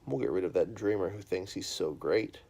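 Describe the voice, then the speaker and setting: A man voicing a group of jealous older brothers with loud, mocking outbursts while reading a bedtime storybook. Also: low voice, a little fast, gravelly, voice pushed down. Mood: scornful. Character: jealous scornful older brothers, young men.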